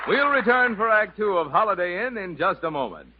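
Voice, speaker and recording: A man's voice: sits high, sing-song, a little fast, a faint crowd. A man announcing from a script in polished 1950s radio style. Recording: slightly dull 1950s radio recording.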